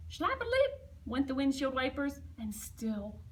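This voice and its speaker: A woman reading a children's picture book aloud expressively, with dramatic emphasis and hushed asides to young listeners. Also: high voice, very animated, measured pace, far from the mic. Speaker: storybook reader.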